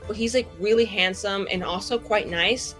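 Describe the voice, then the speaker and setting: Very bright-voiced chatty woman over music. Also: mid-range voice, normal speed. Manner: chatty, slangy, filler-heavy. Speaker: tabletop roleplaying player. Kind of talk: casual, joking character introduction.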